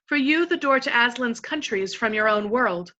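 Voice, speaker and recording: Woman doing a little lamb, sweet milky voice, calm and slow, muffled. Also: on the high side.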